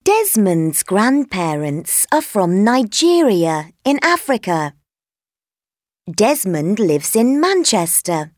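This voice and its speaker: A woman reads textbook passages in a clear, deliberate way for learners. Her pitch moves widely and expressively while her pace stays slow. The sound is dry and close, with no echo. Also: sing-song, darkish tone. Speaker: textbook audio reader. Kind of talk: slow scripted reading for learners.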